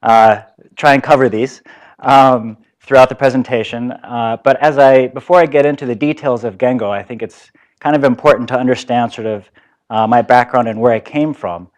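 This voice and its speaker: A man in his thirties speaks into a microphone in a lecture hall. His voice sits at a middle pitch, and he talks in a relaxed way, full of small fillers and restarts as he explains his background to a seminar audience. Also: normal speed. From Japan. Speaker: startup founder giving a seminar talk. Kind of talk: conference lecture.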